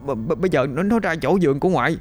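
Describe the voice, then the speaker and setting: A man's voice: on the high side, expressive, rapid-fire, soft background music, voice pushed up. A man voicing a terrified little boy who stammers breathlessly.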